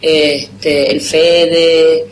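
A woman's voice: low-pitched, little pitch movement, drawn-out words. An Argentine woman writer in her thirties, chatting casually and self-mockingly in an interview, often laughing at herself. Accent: Argentine Spanish, rioplatense. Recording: roomy echo with background noise.

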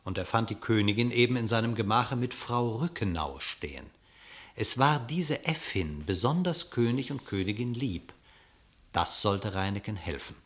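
Male storyteller for verse radio play, lowish pitch, lively intonation, literary. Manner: expressive, measured storytelling. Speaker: narrator. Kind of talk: scripted literary narration of a verse radio drama.

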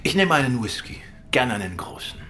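Man acting a shrewd gentleman detective, animated intonation. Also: mid-range voice. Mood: cool, probing. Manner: measured, polite, pointed questioning. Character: shrewd, composed gentleman detective, dryly persistent. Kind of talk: radio crime drama acting.

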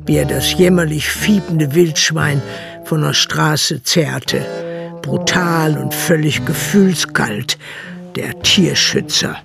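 A man's voice: fairly high pitch, vocal fry. A man narrating a radio drama with a dry, wry storytelling manner. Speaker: narrator and village baker.

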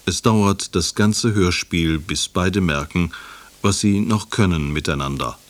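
A man reading a scripted programme preview as a formal, measured radio announcer. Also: sits low.

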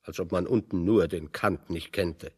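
Radio play: wry exiled intellectual, lowish voice, discursive and pointedly ironic. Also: male voice, normal speed, dark tone, breathy edge. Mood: ironic lecturing. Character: wry, pessimistic intellectual man in exile, fond of lecturing. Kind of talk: German radio drama dialogue.

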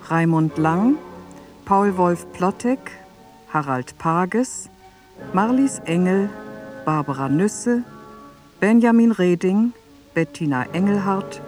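A woman's voice: fairly low pitch, a little mellow. A woman announcer reading scripted credits for a radio play.

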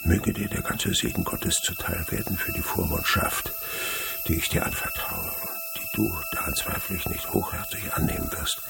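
Gravelly, deep old miser. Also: male voice, wildly swooping pitch, normal speed, very noisy, full character voice. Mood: grave, reading. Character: old miserly male winegrower, wily and falsely humble. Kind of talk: German radio drama acting.

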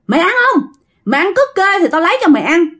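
A woman's voice: sits high, animated, moderate pace, a bit bright. A woman storyteller voicing a stubborn rural mother facing a spirit over her son, earthy and emphatic, with a Southern Vietnamese accent. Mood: defiant, cursing. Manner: emphatic, earthy, repeats phrases.

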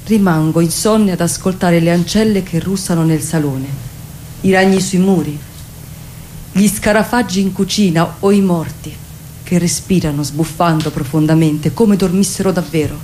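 Radio monologue: actress as a weary, haunted insomniac woman, sombre and mellow, slight background noise. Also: on the low side, normal speed, natural voice. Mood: weary, fearful. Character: weary, sleepless woman haunted by fear and memory. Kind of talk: literary monologue reading for radio.

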